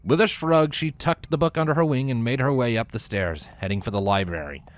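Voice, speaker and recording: A man reading a story aloud as an expressive narrator. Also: mid-range voice, a little fast. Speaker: fan fiction reader.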